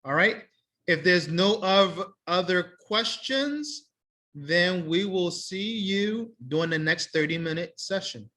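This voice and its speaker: Bright-voiced man teaching on a video call. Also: high-pitched, slow. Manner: relaxed, repeats himself, friendly and plain. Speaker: English teacher. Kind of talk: casual online class wrap-up. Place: small room indoors. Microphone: laptop webcam on a video call.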